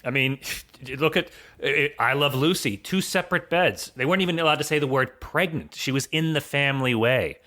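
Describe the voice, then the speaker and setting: A man's voice: medium pitch, moderate pace, few pauses, bright, forceful tone. A man chatting casually as a guest on a podcast. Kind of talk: casual chat.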